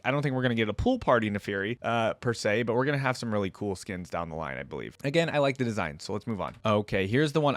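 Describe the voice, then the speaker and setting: A man's voice: medium pitch, no echo. A man giving casual reaction commentary as a video host, thinking aloud as he goes. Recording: music playing behind the voice. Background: game theme music.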